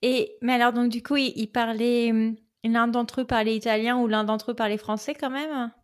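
A woman's voice: a little high, steady tone, normal speed. A woman hosting a casual conversation, informal and reactive, with a teasing way of responding and asking questions. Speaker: podcast co-host or interviewer. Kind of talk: casual chat.

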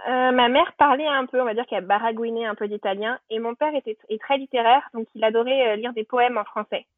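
A woman's voice: a little high, fairly even intonation, fairly quick, no echo. A woman casually telling personal stories in French conversation, chatty and anecdotal, thinking aloud as she goes. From Normandy, France. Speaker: podcast guest. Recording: thin phone-like band, dry.

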